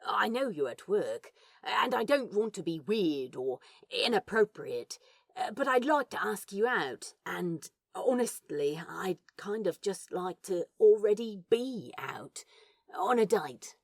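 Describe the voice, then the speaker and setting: Woman playing a nervous lovestruck man, breathy husky voice, expressive swings, rambling, self-deprecating, audio drama. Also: mid-range voice, full character voice. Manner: halting, rambling, swearing. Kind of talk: fan fiction audio drama acting.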